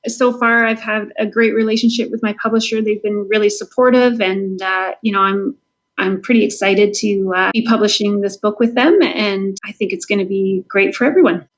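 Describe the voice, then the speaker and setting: A woman's voice: mid-range voice, fairly even intonation, moderate pace. A woman with a Canadian accent talking casually and earnestly as a guest author in an interview, thinking aloud as she goes. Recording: dull lo-fi audio.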